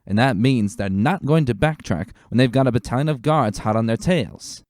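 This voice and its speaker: A man voicing a gruff veteran pony lieutenant, commanding and emphatic, in a dramatic story reading. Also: medium pitch, normal speed, darkish tone, no room sound, clean studio sound, pitched above the performer's own voice, lightly acted. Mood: stern, warning.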